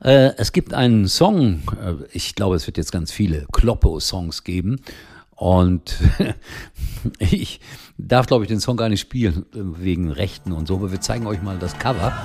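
An older man hosting a casual daily football talk show, chatty and rambling with lots of fillers, a little hoarse from a cold. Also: sits low, expressive, unhurried, darkish tone. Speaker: football commentator and show host.

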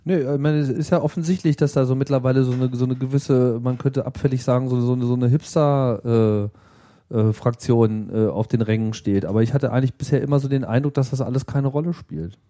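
A man's voice: mid-range voice, fairly even intonation, a little fast, mellow. A middle-aged man from Hanover in western Germany in a casual podcast conversation, hesitant and repeating words as he forms his thoughts.

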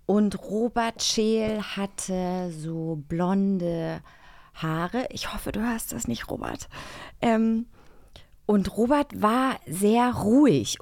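A woman's voice: medium pitch, lively intonation, slow, a little mellow, no room sound, studio quality. A woman hosting a podcast in chatty banter, with an effusive, exclamatory way of talking. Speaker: podcast host.

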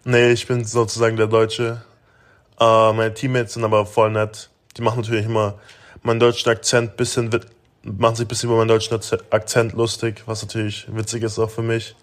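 A young man of nineteen from Germany talks through interview questions in a loose, rambling way, full of fillers and restarts. His voice sits on the low side and stays flat, with little rise and fall. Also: fairly quick. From Germany (Augsburg). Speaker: interview guest, basketball player. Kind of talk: casual interview answers.

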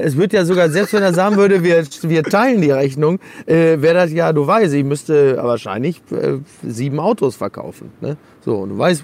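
A man's voice: a little high, a little mellow. A man co-hosting a casual, humorous football podcast, telling anecdotes in a joking, sarcastic way. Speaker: podcast co-host.

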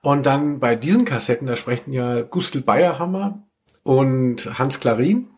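A man with a noticeably creaky, average-pitched voice hosts a podcast. He talks casually and loosely, wandering through his sentences and correcting himself. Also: a little slow. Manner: rambling, self-correcting. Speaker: podcast host.

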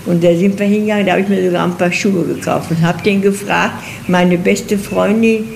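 An older woman from Germany recalling her life in an interview, halting and often repeating herself. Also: fairly low pitch, steady tone, vocal fry, a little mellow. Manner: halting, repeats words and restarts. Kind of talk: recorded interview, personal recollection. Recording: noisy archival interview recording.

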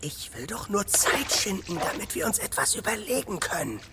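A man's voice: high voice, sing-song, normal speed. A man playing a wry, playful boy adventurer with a sarcastic streak in a children's audio drama. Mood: casual, scheming. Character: wry, hungry, joking boy toy adventurer. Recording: reverberant hall with music behind.

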